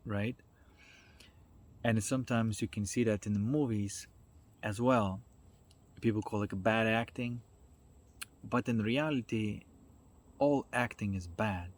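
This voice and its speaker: A man with a fairly low voice speaks informally, as if explaining ideas in a self-development talk. His delivery is reflective and halting, with frequent little checks on the listener. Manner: reflective, halting delivery with checking tags like "right?" and "you know".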